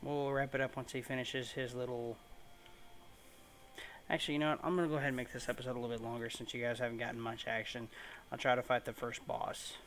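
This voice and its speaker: Male gaming host, average pitch, casual commentary, rambling, informal, faint game ambience. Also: flat delivery, quick pace, fairly bright tone, a little hiss or hum. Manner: rambling, informal, mild swearing. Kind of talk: casual let's play commentary.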